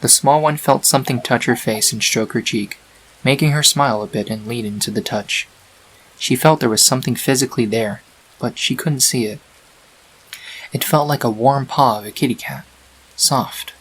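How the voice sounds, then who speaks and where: A man narrating a scripted fan-fiction story in a measured, dramatic storytelling manner. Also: mid-range voice, rough recording. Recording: faint hiss on home recording.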